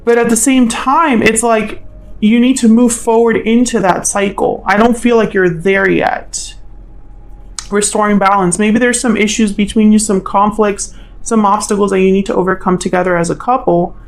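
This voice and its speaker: A woman's voice: medium pitch, fairly even intonation, moderate pace. A woman giving an informal tarot reading as host, musing and thinking aloud in a tentative way. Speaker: tarot reader, channel host.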